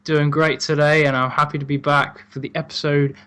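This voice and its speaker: A man chatting casually as a guest co-host, agreeable and quick to laugh. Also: on the high side, flat delivery, sharp tone, smooth. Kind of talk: casual review chat.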